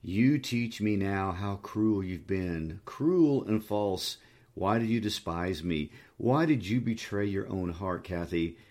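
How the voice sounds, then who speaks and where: A man's voice: lowish voice, animated, takes it slowly, darkish tone. A man voicing a tormented, savage and despairing lover in a dramatic novel reading, emphatic and accusing. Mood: anguished, accusing.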